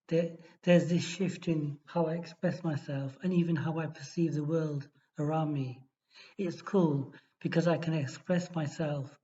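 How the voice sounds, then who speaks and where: A man tutoring for an exam, reading a model essay aloud in a steady, measured way with small restarts. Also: on the high side. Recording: dull lo-fi recording.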